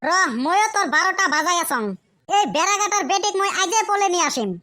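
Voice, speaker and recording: A man's voice: very high voice, a little fast, background noise. A man voicing a cheeky, scheming village son in a comic cartoon. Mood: defiant. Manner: cheeky, argumentative.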